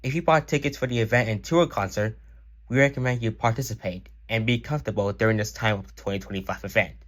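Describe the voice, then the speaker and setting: A man's voice: lowish voice, quick pace, a bit bright. Man reading a formal emergency broadcast announcement. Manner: formal, solemn. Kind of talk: scripted emergency news announcement.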